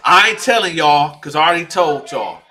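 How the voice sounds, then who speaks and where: A man vlogging a personal story to his viewers, slangy and animated, acting out the dialogue as he goes. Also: on the high side, moderate pace.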